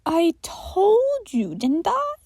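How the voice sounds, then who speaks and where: A woman's voice: extremely high pitch, huge pitch swings, extremely slow, darkish tone, no echo, studio quality, pitched above the performer's own voice, heavily acted. A woman voicing a giddy, teasing inhuman creature in an audio story, airy and taunting. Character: giddy, teasing, uncanny inhuman spiral entity named Michael.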